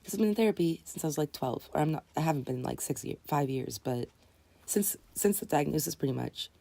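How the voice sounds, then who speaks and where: A woman in her twenties, raspy and just woken up, rambling earnestly and correcting herself as she hosts a casual live chat. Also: sits low, animated, quick pace, mellow, no echo. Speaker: livestream host.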